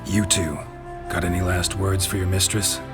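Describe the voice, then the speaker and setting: An actor playing a gruff, world-weary monster hunter in a video game, with a terse, deadpan manner. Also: male voice, low-pitched, normal speed, over loud music, lightly acted. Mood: wry, wary.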